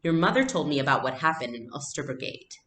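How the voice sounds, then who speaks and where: A woman reading a storybook aloud, playing a warm but grave young man, a trusted family friend. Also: sits low, animated, fast talker, reverberant, a little hiss or hum.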